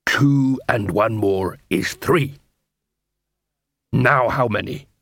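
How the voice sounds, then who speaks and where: A man voicing a grumbling dwarf watchman with a deliberate, instructive way of talking in a fantasy story. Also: medium pitch, a bit bright, no echo.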